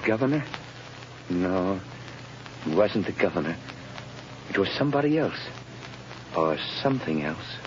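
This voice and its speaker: A shaken freed prisoner. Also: male voice, deep voice, sing-song, measured pace, long pauses. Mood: awed. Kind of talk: 1930s radio drama. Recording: dull old radio recording with hiss.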